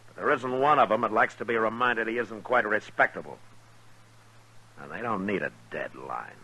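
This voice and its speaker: A low, husky American marshal from a vintage radio western. He is calm and steadfast, speaking in measured, laconic lines with a firm bright edge. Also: exaggerated voice, low-quality recording. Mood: calm, dry. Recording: vintage 1950s radio recording.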